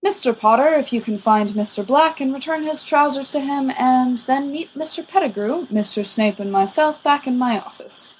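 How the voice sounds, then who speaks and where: A woman voicing a serene, courteous old male wizard headmaster in an audiobook, measured, formal and gently firm. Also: high-pitched, a little hiss or hum, pitched above the performer's own voice, natural voice, poor audio. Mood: calm, benevolent. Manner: measured, formal, politely wordy. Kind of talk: audiobook character reading.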